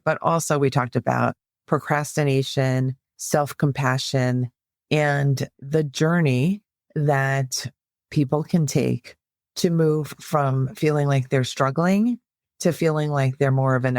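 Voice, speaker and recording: A woman psychologist hosting a podcast, introducing her guest in a measured, affirming way. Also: extremely low pitch, dry recording, studio quality.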